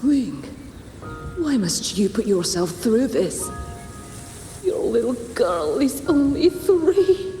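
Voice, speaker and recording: Slow, mellow, pleading grandmother. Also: fairly high pitch, sing-song, exaggerated voice. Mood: pleading, worried. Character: worried, pleading elderly grandmother. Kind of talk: dramatic video game acting. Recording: echoing reverb, faint music.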